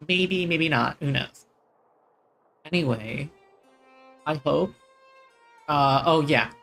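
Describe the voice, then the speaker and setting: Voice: medium pitch, takes it slowly, creaky, fairly bright tone. A streamer giving casual game commentary, chatty and musing aloud, often trailing off. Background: faint game music.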